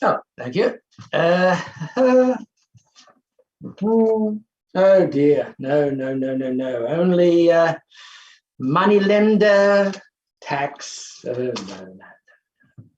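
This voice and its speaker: An actor playing an overbearing, impatient, scornful professor in a theatrical play reading, blustering and muttering exclamations. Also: male voice, fairly high pitch, animated, very slow, long pauses, a little mellow, dull audio. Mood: distracted, exasperated. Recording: roomy echo with faint hiss.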